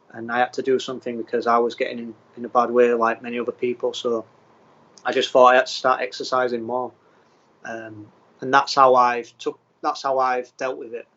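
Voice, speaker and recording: A man from England, a professional footballer, answering interview questions in a plain, steady way with frequent ums. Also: medium pitch, dull audio. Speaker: footballer guest.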